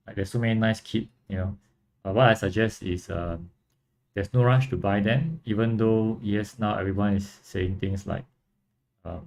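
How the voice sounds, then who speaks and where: A young man from Singapore with a Singaporean accent, casually chatting on a livestream and answering viewers in a relaxed, rambling way. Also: low voice, vocal fry. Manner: relaxed, rambling, frequent fillers and restarts. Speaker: livestream host and model kit builder.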